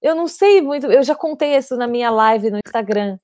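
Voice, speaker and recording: A woman's voice: on the high side, expressive, brisk, darkish tone. A woman guest with a mixed Brazilian Portuguese accent, chatting casually in an interview and laughing often.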